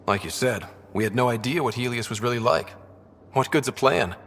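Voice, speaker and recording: An actor playing a young human expedition leader in a video game, curious and dryly teasing, with a husky, conversational voice. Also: male voice, mid-range voice, fairly quick, fairly bright tone, a little hiss or hum, lightly acted. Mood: dry, casual. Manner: conversational, inquisitive, dry. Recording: long reverb.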